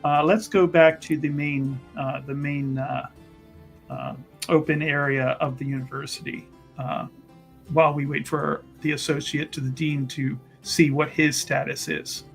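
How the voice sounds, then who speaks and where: Fantasy game schemer, acted by a man, higher-voiced, courteous, hesitant, placating, over faint music. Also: little pitch movement, unhurried, creaky. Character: smooth, calm male cult schemer keeping his cool.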